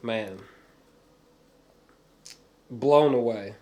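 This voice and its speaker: A man giving a casual, rambling film review as an excited fan, swearing freely, often hesitating and repeating himself for emphasis. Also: mid-range voice, very slow.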